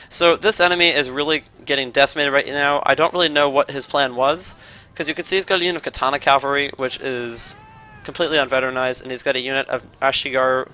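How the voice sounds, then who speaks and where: A man's voice: on the high side, bright, forceful tone, clear, resonant tone. A man giving upbeat play-by-play commentary on a strategy game replay, his voice still a little scratchy from being sick. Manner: upbeat, brisk play-by-play explaining. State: recovering from illness, voice a bit scratchy. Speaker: gaming commentator.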